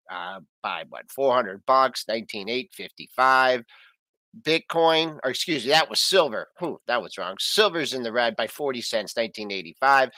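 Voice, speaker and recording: Host, male, bright and animated voice, brisk price rundown, dry booth. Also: mid-range voice, dry recording. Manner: brisk, lively ticker rundown. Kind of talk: reading market prices.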